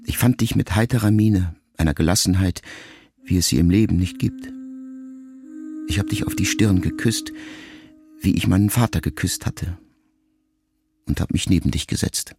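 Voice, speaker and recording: Male, very low and creaky: a grieving writer son, measured, intimate radio-play monologue. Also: music in the background. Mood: sorrowful, tender. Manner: measured, reflective, intimate, with deliberate pauses. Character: grieving grown son, a writer, addressing his dying mother.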